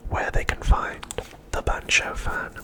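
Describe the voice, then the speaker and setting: Whispering man narrating softly for ASMR. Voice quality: unvoiced, breathy whisper. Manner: soft, unhurried, hushed storytelling. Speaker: ASMR host.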